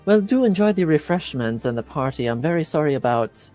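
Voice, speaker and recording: A man's voice: a little high, animated, fairly quick, a little mellow, soft background music, pitched above the performer's own voice, lightly acted. A man voicing a polite, flippant noblewoman with formal, courtly phrasing in a tabletop roleplaying game. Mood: airy, dismissive. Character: polite, flippant young noblewomen at a masquerade salon.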